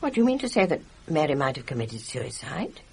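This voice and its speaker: An actress playing a gossipy, opinionated village nurse with a British accent, chatty and judgmental in a radio drama. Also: female voice, deep voice, very animated, fairly quick, vocal fry, darkish tone, husky, full character voice. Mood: sceptical, emphatic. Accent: British English.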